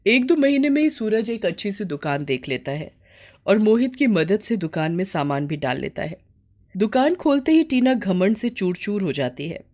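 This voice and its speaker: A woman's voice: lowish voice, a little mellow. A woman storyteller reading a scripted moral bedtime story.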